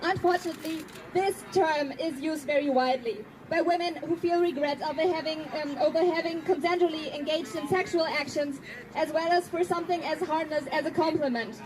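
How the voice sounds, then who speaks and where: High-pitched woman giving a speech. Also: fairly even intonation, a crowd in the background, poor audio. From Germany. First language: German. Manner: earnest, pointed, slows down for emphasis. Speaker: activist speaker. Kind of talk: prepared speech to an audience.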